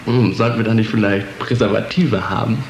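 Actor as low-voiced coaxing husband, roomy sound. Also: male voice, steady tone, a little fast, lightly acted. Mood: mildly defensive. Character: male partner in a bickering couple, cajoling. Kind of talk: radio play dialogue. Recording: roomy, noisy radio play scene.

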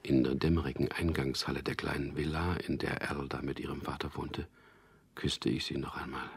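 An actor playing an earnest young suitor who narrates a radio drama in an intimate, measured, husky voice. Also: male voice, little pitch movement, full character voice. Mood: reflective narration. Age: young adult. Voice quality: low, gravelly, husky. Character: young propaganda clerk Peter, narrator and tender suitor. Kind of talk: radio drama acting with first-person narration.

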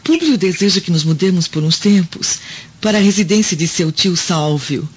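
A woman's voice: lowish voice, expressive, normal speed, close to an everyday voice. A Brazilian woman playing a worried, genteel Roman wife and mother in a radio drama, in a plaintive, theatrical manner. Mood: weary, anxious. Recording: dull radio recording with clear noise.

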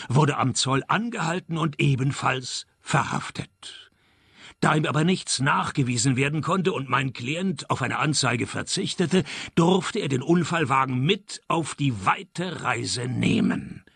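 An actor playing a laconic, world-weary private detective who narrates a hardboiled parody radio play in deadpan. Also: male voice, a little high, creaky, husky, no echo, heavily acted.

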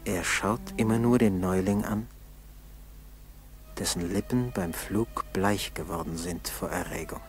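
A man narrating a 1960s German school radio play in a solemn, measured, scripted style. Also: sits low, faint music behind. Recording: 1960s radio tape with background noise.

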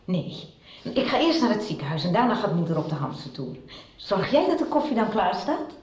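A woman's voice: mid-range voice, very animated, a little fast, darkish tone, a little hiss or hum, close to an everyday voice. An actress playing a cheerful, bustling mother in a Dutch radio soap. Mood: brisk. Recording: echoey room.

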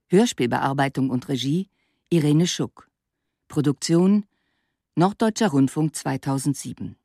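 A woman reading radio drama credits as an announcer, in a formal, neutral, scripted style with clearly separated phrases. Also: low-pitched, warm tone, no echo, clean studio sound. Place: radio studio.

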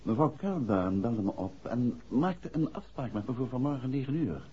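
Gentleman detective, husky, expressive pitch, composed and courteous, businesslike, dull vintage radio sound. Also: normal speed. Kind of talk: 1960s radio play acting. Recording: muffled 1960s radio recording.

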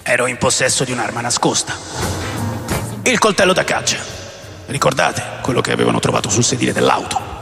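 An actor playing an aging, rebellious rock musician who recounts his wild past in a deliberate, theatrical radio drama narration with a gravelly voice. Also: mid-range voice, huge pitch swings, heavily acted. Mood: animated, indignant. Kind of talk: dramatic first-person memoir narration. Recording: long reverb over music.

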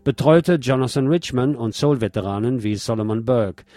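A man's voice: medium pitch, moderate pace, warm tone, dry recording. A man reading a written article aloud as a radio host, in a scripted narration style.

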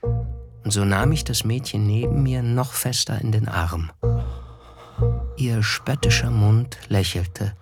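Lowish male voice, reflective young narrator, measured, lyrical, radio drama, music behind. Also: gravelly. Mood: tender, lyrical. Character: reflective, wry young man narrating his memories. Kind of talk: literary radio play narration.

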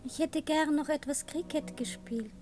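A woman voices a small dreamer of a child in a 1960s German radio play. The voice is very high and playful. The phrasing is simple and poetic. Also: normal speed, music in the background, natural voice. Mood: wistful, childlike. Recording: 1960s radio drama recording.

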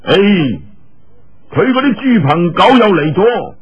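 A man puts on a sneering Cantonese-speaking sect master from a martial arts storybook. The voice is pitched up high, mocking and drawn out. The recording is somewhat dull. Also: sing-song, takes it slowly, slightly rough, heavily acted. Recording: dull, lo-fi audio.

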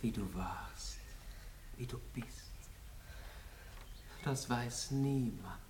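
Impetuous young noble lover, very theatrical, old radio drama, roomy and far from the mic, some hiss. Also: male voice, mid-range voice, extremely slow, darkish tone. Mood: rapturous, tender. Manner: rapturous, theatrical stage diction. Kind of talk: 1960s German-language radio play acting. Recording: roomy, distant, hissy old radio recording.

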